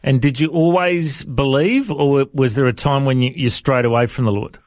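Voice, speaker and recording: A man's voice: medium pitch, moderate pace, a little mellow, no echo, studio quality. A man, hosting a casual interview in a chummy, matey way. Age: 40s. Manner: chummy, calls guest mate and brother. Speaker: podcast host.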